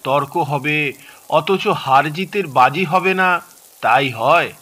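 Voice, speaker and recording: Brisk young son, higher bright voice. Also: male voice, heavily acted. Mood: bossy, insistent. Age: young adult. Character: brisk grown son acting as bossy referee. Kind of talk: Bengali audio drama dialogue.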